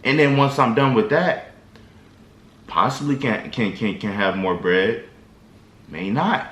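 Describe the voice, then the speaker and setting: A man's voice: medium pitch, takes it slowly, a bit bright. A man vlogger giving casual, slangy, rambling motivational advice to his viewers. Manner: slangy, loose and rambling, lots of restarts. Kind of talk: casual motivational talk to camera. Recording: echoey room.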